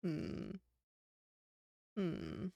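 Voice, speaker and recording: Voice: medium pitch, huge pitch swings, drawn-out words, gravelly, mellow, no room sound. A streamer with a New Zealand accent, chatting casually on a live stream, rambling and swearing. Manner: rambling and swearing, with frequent laughs.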